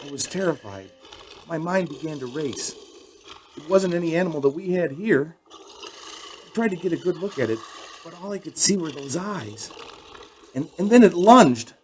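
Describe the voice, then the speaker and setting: A man's voice: a little high, animated, moderate pace, long pauses, a little hiss or hum, lightly acted, poor audio. An actor playing a frightened, earnest young night-shift worker, telling his story hesitantly in a radio drama. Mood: terrified.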